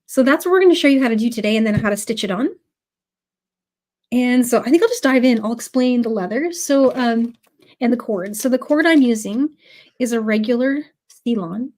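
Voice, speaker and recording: Woman, slightly high pitch, nursing a cold; chatty live craft teacher. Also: normal speed, darkish tone. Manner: chatty, many ums, greets viewers by name. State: has a cold. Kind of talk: casual live tutorial.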